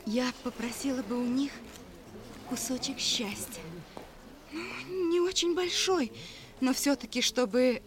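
A woman's voice: high voice, a little slow, pauses often. An actress playing a dreamy village girl, wistful and playful, in a 1960s radio drama. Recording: crowd murmur behind.

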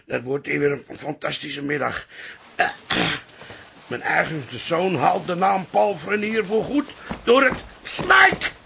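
A man's voice: on the high side, lively intonation, slow, creaky, noisy background, full character voice. An actor playing a grumbling, old-fashioned father in a radio comedy drama, indignant and gloomy about everything modern. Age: older.

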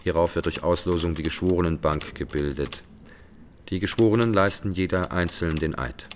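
A man's voice: low voice, normal speed, a little hiss or hum, close to an everyday voice. An actor playing a sober male court chronicler, narrating formally and factually in a documentary radio drama. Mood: dry, factual.

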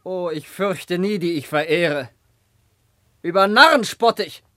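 A proud young prince of the wilds in a classical radio drama. His voice is on the high side and very dry in the studio. He delivers verse in a fearless, declamatory manner. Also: measured pace, sharp tone, dry recording. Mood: defiant, mocking. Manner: poetic, declamatory. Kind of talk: classical German radio play acting.

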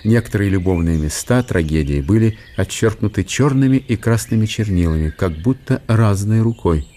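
A man's voice: on the low side, lively intonation, normal speed, warm tone. A man reading scripted literary narration for a radio drama.

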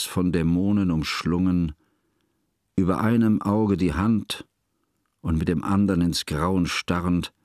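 An actor playing a grieving elderly scholar who narrates a literary radio drama in a measured, formal, elegiac manner. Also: male voice, sits low, a little mellow, no echo.

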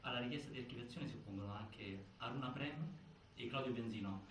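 A man performing as a solemn theatre narrator, reciting a case file in formal, deliberate, declamatory phrases. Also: mid-range voice, lightly acted. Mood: grave, insistent. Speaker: narrator in a stage inquiry play. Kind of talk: scripted theatrical documentary narration. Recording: echoey, distant, faint noise.

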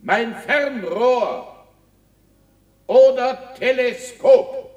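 An actor playing a deferential scholar giving a declamatory, deliberate formal address to dignitaries in a 1960s radio drama. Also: male voice, sits high, darkish tone. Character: Galileo as ceremonious, humbly flattering scholar addressing dignitaries. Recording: echoey hall, distant from the mic.

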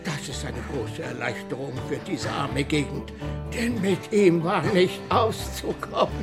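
An actor playing a timid, evasive older village priest in a radio drama, wordy and full of excuses. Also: male voice, a little high, measured pace. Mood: fretful. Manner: fretful, wordy, excuse-making. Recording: radio play with music behind.

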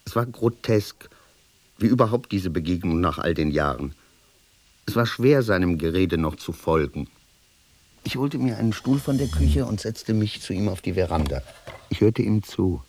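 An actor playing a reflective man who narrates an uneasy reunion in a literary radio play, measured and sombre. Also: deep voice, animated, a little mellow, slightly rough, dry recording, heavily acted.

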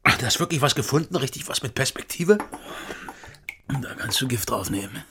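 A man's voice: medium pitch, expressive, moderate pace, pushed and bright, slight background noise. An actor playing a boastful, secretive drunk man who taunts his drinking companion in a radio crime drama.

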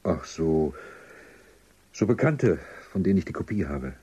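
A dismissed thinker in a dystopian radio play, defiant and dryly ironic. His lowish, husky voice moves expressively as he insists. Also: sing-song, unhurried, warm tone, heavily acted. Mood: hushed, intent. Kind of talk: German radio drama acting.

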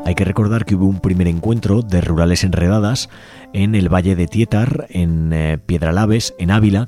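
A man from Spain hosting a community radio show, speaking unhurriedly and often restarting and rewording his phrases. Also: low voice. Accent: Peninsular Spanish. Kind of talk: unscripted radio talk segment. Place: radio studio. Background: soft music bed.

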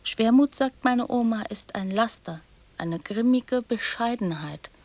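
A woman's voice: a little high, measured pace, darkish tone, no room sound. An actress playing a young girl in a radio drama, prattling and teasing in childlike fragments that often drop to whispers. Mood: insistent, chatty.

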